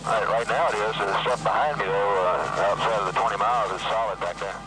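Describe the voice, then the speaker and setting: A man's voice: fairly low pitch, fast talker. An American man working as an air traffic controller, talking in clipped radio phrases. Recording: very noisy airport control tower.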